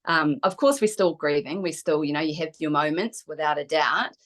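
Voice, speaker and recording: A middle-aged woman with a New Zealand accent and a distinctly low voice. She chats as an interview guest, in a reflective, open and conversational manner. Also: normal speed. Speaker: guest, kinesiologist.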